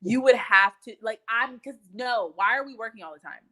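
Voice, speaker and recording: A woman from Texas chatting casually in an animated, opinionated, emphatic way, swearing freely. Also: a little high, normal speed. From Texas, United States. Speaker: live stream host. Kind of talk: casual hangout chat.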